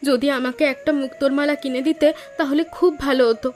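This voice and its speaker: A caring village wife from a cartoon, acted by a woman, with a very high voice. She sounds attentive and coaxing. Music plays underneath. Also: steady tone, fairly quick, lightly acted. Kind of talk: Bengali cartoon voice acting.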